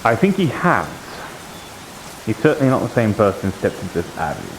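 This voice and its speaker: A man's voice: fairly low pitch, wildly swooping pitch, a little fast. A man improvising roleplay dialogue in a hesitant, thinking-aloud manner. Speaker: streamer role-playing in a VR game. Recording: clearly noisy with rain-like hiss.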